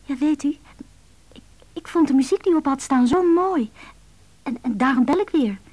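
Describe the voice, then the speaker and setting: An actress in a radio play playing a shy, hesitant woman who speaks softly and stammers on the phone. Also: sits high, animated, moderate pace. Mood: nervous, admiring. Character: shy, hesitant woman phoning a stranger's answering machine. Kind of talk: naturalistic Dutch radio drama acting.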